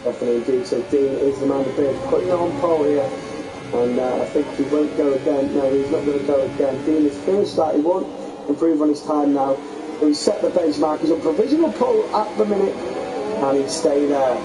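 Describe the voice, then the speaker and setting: A man with a fairly high voice gives a lively, chatty play-by-play of a sim race. His voice is getting worn and hoarse, and steady noise sits under a home recording. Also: brisk. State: losing his voice. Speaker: lead commentator. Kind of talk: sim racing commentary.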